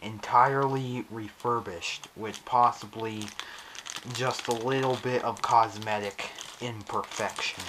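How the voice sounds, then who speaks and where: A man casually narrating a hands-on unboxing video in halting, pieced-together phrases, recorded on an action camera. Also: mid-range voice, steady tone, background noise. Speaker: vlogger unboxing a weather radio. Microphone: action camera on head strap, later monopod.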